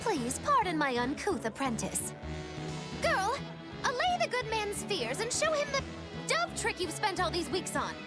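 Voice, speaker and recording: A woman's voice: extremely high pitch, wildly swooping pitch, unhurried, full character voice. A woman voicing a mischievous, bossy young magician troupe leader in a video game, with pompous, florid showmanship. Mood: grandiose. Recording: loud music behind the voice.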